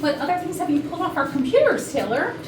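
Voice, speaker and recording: A woman's voice: high-pitched, big pitch swings, quick pace. A woman playing a sarcastic, defensive HR manager suspected of murder in a staged comedy reading. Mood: indignant, defensive. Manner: sardonic, indignant. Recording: echoey, distant, noisy rehearsal recording.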